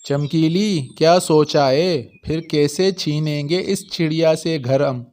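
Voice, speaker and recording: A man's voice: a little high, slow, darkish tone. A man voicing a greedy male crow in a moral-story cartoon. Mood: puzzled.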